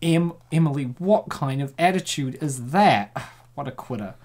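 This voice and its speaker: A man narrating a comic dub and cracking jokes between panels. He laughs often, and his pitch jumps around a lot. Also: normal speed. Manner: joking, laughs often, animated. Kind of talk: narration with joking commentary.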